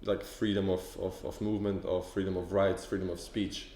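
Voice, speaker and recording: A man's voice: deep voice, little pitch movement, a little fast, mellow, echoey room. A man from Poland, raised in England, answering interview questions hesitantly with many repeated words and fillers. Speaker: event participant. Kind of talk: casual on-camera interview.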